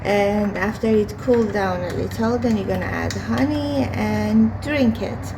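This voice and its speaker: A woman with a hoarse voice chats slowly through a home vlog, pausing often on fillers. Her pitch sits in the middle range. Clear street and vehicle noise drifts in through open windows behind her. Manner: slow, unhurried, hesitant with many fillers. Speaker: vlogger. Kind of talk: casual daily vlog while cooking. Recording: home recording with outside traffic noise. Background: street and vehicle noise from outside.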